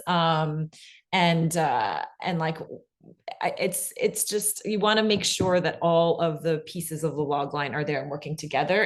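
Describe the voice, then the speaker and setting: A woman speaking as an expert guest in a casual panel talk, explaining things informally and often saying "like" and "you know". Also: deep voice. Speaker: expert guest on pitching audio drama.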